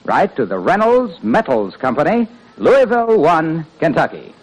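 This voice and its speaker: A male announcer delivering a polished sales pitch in 1950s radio style. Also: huge pitch swings, moderate pace, over loud music, rough recording. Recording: old radio broadcast.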